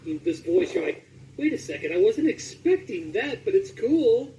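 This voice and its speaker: Male interview host, somewhat high voice, casual and conversational, sitting back from the mic. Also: sing-song, normal speed, mellow. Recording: distant mic, faint background noise.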